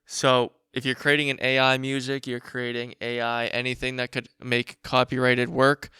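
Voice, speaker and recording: Crisp, bright-voiced man talking bluntly. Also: medium pitch, monotone, normal speed, dry recording. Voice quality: raspy. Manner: blunt, profane, informal. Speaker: show host. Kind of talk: casual commentary, reading documents aloud.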